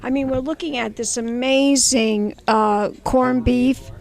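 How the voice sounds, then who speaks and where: A woman's voice: fairly high pitch, steady tone, takes it slowly, vocal fry, darkish tone. A woman from Brooklyn interviewing on location as a TV host, with a gushing, emphatic manner. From Brooklyn, New York, United States. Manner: gushing, emphatic, calls people darling. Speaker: TV host interviewing restaurant staff. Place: inside a restaurant.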